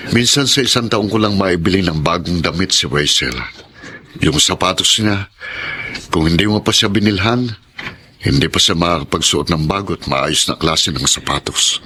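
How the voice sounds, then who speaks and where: A man's voice: sits low, normal speed, bright, forceful tone, slightly rough, exaggerated voice. An actor playing a poor, devoted, self-doubting father in a Filipino radio drama, speaking earnestly. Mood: humble, doubtful. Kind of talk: Tagalog radio drama acting. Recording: audible background noise.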